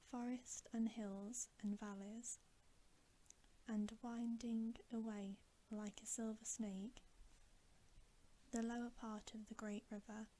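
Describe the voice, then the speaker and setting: A woman reading a bedtime story as ASMR in a soft, breathy whisper, gentle and soothing. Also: a little high, a little hiss or hum, poor audio.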